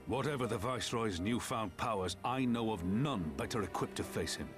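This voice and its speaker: A man's voice: fairly low pitch, moderate pace, over music, lightly acted. Man as a grave, resolute fantasy warrior. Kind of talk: video game dialogue acting.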